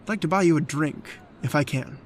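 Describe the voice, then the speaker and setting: A man's voice: fairly high pitch, brisk, a little hiss or hum, lightly acted. Man playing an uneasy hunter in a radio drama. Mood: polite, awkward. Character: uneasy, hesitant male hunter stalking his victim. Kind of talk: old-time radio drama acting.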